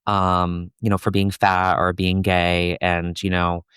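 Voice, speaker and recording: A soft-spoken young man talking casually as a guest, his low voice smooth and clear. He keeps a fairly even tone, dotting his speech with "like" and "you know." The sound is close and dry. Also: slow pace, dry recording. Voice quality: soft, somewhat feminine voice. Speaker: podcast guest, content creator. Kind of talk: casual conversational interview.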